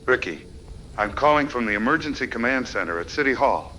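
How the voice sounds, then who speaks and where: An actor playing an earnest town official giving emergency instructions in an audio drama, formal, orderly and grave. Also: male voice, mid-range voice, lively intonation, a little fast, pushed and bright, rough recording. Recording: faint noise behind voice.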